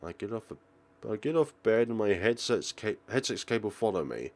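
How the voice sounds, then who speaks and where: A man's voice: lowish voice, lively intonation, moderate pace, darkish tone. A man reading a visual novel aloud as a let's player, theatrical, sometimes stumbling and rereading phrases. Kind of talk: game commentary with dramatic read-aloud narration.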